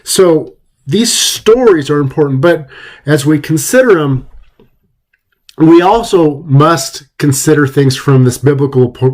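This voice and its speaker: A man giving an informal introductory lecture in a conversational, somewhat halting way. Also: on the high side, darkish tone.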